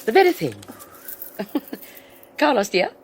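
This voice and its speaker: A woman's voice: a little high, sing-song, slow pace, a little hiss or hum. An actress playing a domineering wealthy wife who teases and controls her husband, silky and condescending with small laughs, in a radio drama. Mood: gloating. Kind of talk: 1980s radio drama acting.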